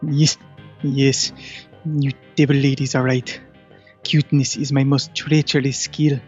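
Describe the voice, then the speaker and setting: Man voicing a pompous, flat-toned scheming cat, slightly muffled. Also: mid-range voice, measured pace, faint music behind, natural voice. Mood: mock submissive. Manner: pompous, theatrical phrasing. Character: adorable talking cat, treacherous, grandiose schemer. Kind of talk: audio drama acting.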